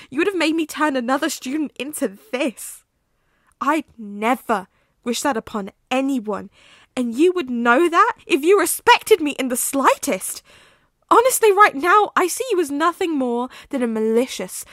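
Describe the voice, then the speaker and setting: Woman reading angry werewolf teen boy, swears a lot, yells, dry sound. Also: on the high side, breathy edge, no echo, exaggerated voice. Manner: shouting, swearing, bitter. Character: furious betrayed teenage boy werewolf.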